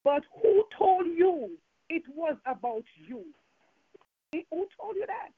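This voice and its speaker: A woman's voice: very high-pitched, lively intonation, takes it slowly, no room sound. A woman with a Jamaican accent preaching a fervent evening sermon, full of repeated phrases and rhetorical questions. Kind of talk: evening sermon with call and response. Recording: tinny phone-line sound.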